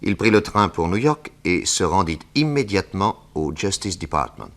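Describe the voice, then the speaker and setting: A man narrating a scripted 1960s French radio drama in a measured storytelling manner. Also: sits low, sing-song, fairly bright tone, full character voice.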